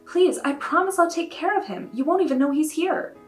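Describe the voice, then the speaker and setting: A woman's voice: fairly quick, soft background music, close to an everyday voice. A woman voicing a young girl who pleads insistently to keep a monster. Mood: pleading. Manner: high-pitched, pleading and insistent.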